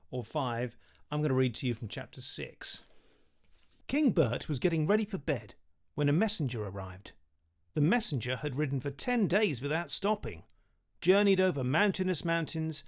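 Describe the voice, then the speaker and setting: A British man, around his thirties, reading a children's story expressively into a close studio microphone. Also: on the high side. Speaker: author reading his book. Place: small home studio room, indoors. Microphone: studio condenser with pop filter, close.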